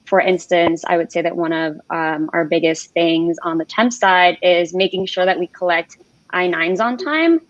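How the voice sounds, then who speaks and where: Woman from puerto rico on a video call, lowish voice, dry dull sound. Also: moderate pace, no room sound. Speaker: HR generalist guest. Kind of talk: casual conversation.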